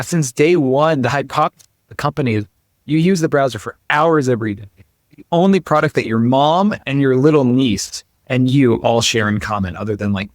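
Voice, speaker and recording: A man's voice: mid-range voice, no room sound, clean studio sound. A man speaking as a company founder and interview guest, explaining conversationally and emphatically, often dropping in "like" and "you know".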